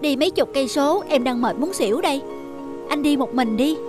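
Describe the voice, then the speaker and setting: A woman voicing a young city wife, grumbling but soft-hearted, in a cartoon family drama. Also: sits high, lively intonation, a little fast, over music. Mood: tired, grumpy. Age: young adult.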